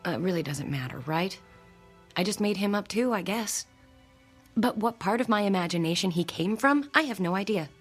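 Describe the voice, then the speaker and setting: Anxious, breathy radio drama wife. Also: female voice, mid-range voice, lively intonation, faint music behind. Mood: anxious, bewildered. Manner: anxious, halting, confessional. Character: distraught married woman confiding a strange experience to psychiatrist.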